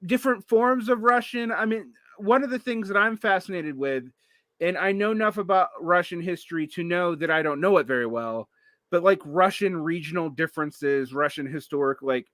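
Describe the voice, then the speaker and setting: A man hosts a casual talk, his voice bright and fairly high. He rambles, restarting his sentences and leaning on fillers. The sound is dry and close. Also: moderate pace, no room sound. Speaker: podcast host. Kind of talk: casual interview chat.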